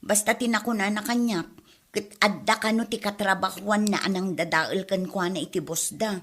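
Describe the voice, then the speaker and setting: A woman with an Ilocano Filipino accent playing a vain, nagging wife in a radio comedy drama, expressive and gossipy. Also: medium pitch, normal speed, close to an everyday voice. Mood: troubled. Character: vain, nagging wife who loves buying beauty products.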